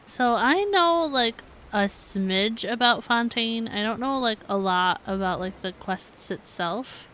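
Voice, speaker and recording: Female game streamer: slow, slightly high voice, casual commentary, thinking out loud, giggly manner. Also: expressive, slight background noise, low-quality recording. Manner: musing aloud, giggly. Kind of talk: casual live playthrough commentary.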